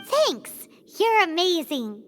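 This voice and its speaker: A woman voicing a small, plucky, loyal girlish companion in an anime game, with a squeaky childlike voice. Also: big pitch swings, slow, music in the background, heavily acted. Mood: grateful.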